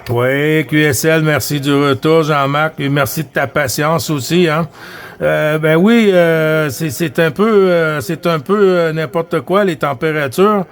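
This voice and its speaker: A man's voice: on the high side, fairly even intonation, unhurried, a little hiss or hum. A man with a Quebec French accent chatting casually and rambling as he runs a CB radio contact. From Quebec, Canada. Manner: rambling, repetitive, colloquial. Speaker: CB radio operator running a contact net.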